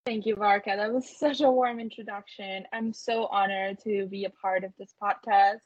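A woman's voice: medium pitch, steady tone, moderate pace, no echo, dull audio. A woman from Colombia whose first language is Spanish, speaking earnestly and effusively as an interview guest, telling her story in a conversational way. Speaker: interview guest, tech company co-founder.

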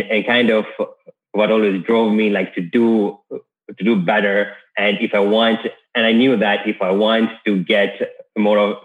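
Male guest, from Kenya, low-pitched, easygoing, heard down a tinny call line. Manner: easygoing, with frequent restarts. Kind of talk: casual podcast interview.

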